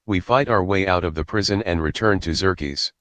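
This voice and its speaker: A man's voice: sits low, normal speed, fairly bright tone. A man narrating, reading a story script aloud.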